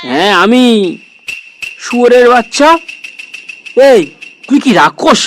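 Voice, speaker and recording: A man's voice: extremely high pitch, expressive, a little slow, fairly bright tone, a little hiss or hum, heavily acted. A man voicing a grumpy, foul-mouthed older father in a comedy cartoon, scolding and indignant. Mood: furious. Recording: music behind.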